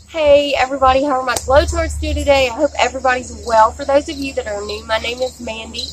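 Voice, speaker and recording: A woman's voice: sits high, fairly bright tone, slight background noise, poor audio. Chatty woman outdoors. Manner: chatty, enthusiastic, says "y'all" and "guys" often. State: slightly scratchy from a sore throat. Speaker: beauty vlogger. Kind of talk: casual product review. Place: outdoors in a backyard. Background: crickets and insects, barking dogs.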